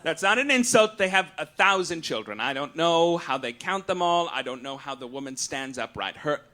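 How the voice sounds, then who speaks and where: Male comedian, live stage monologue, arch and theatrical, sharp bright tone, raised pitch, satirical mock-official style. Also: animated, moderate pace. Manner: theatrical, campy, arch delivery. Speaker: comedian hosting a live show. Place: on stage at a live cabaret venue.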